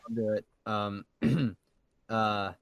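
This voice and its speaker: A man's voice: fairly low pitch, fairly even intonation, very slow, creaky, dry recording. A man co-hosting a podcast, reading listener questions in a jokey, fumbling way. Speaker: podcast co-host.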